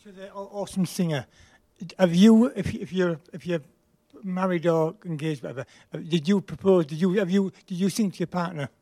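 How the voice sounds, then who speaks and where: A man's voice: sits high, fairly quick, mellow. A man in the audience asking an eager question and stammering and restarting as he goes. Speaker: audience member.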